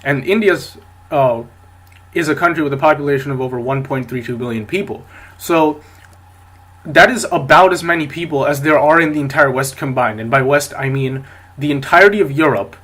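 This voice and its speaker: A man's voice: medium pitch, a little fast, a bit bright. A man hosting a solo podcast, talking with a stuffy nose from a cold, rambling along with plenty of fillers and restarts. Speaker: podcast host.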